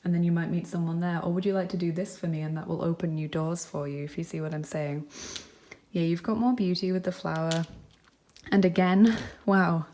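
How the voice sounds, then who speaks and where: A woman with a lowish, mellow voice talks through a tarot and charm reading. She speaks gently and reassuringly, musing aloud with frequent ums and likes. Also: steady tone, fairly quick. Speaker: tarot reader. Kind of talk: pick-a-card tarot reading.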